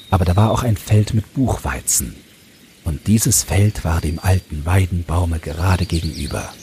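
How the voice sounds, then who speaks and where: A man's voice: low-pitched, darkish tone. A man narrating a scripted fairy tale as a storyteller. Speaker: storyteller and podcast host. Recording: faint background noise.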